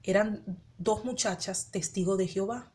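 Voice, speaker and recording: A woman's voice: medium pitch, measured pace, a little mellow, slight background noise. A woman pastor from the Dominican Republic, raised in Venezuela, telling her personal testimony and acting out her own inner dialogue. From born in the Dominican Republic, raised in Venezuela. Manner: rhetorical questions to the listener.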